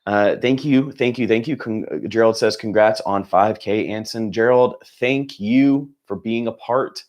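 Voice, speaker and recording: A young man with a very low voice runs a relaxed livestream chat from his home setup. He sounds hoarse, as if he is close to losing his voice. He rambles in an upbeat way, full of fillers and false starts. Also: measured pace. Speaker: channel host.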